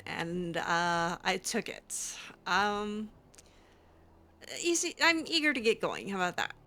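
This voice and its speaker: A woman's voice: mid-range voice, bright, forceful tone. A woman voicing a cheeky, skeptical female mage in casual, teasing improvised roleplay of a fantasy game. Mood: guarded, casual.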